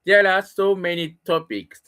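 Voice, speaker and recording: A middle-aged Japanese man, a native Japanese speaker, talking alone in halting English with a Japanese accent, pausing and restarting as he searches for words. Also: high voice, steady tone, fairly bright tone, smooth. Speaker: solo broadcaster practicing English. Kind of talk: casual spoken monologue.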